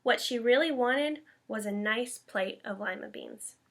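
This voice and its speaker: A woman's voice: a little high, unhurried. A woman teacher reading a children's picture book aloud with expression.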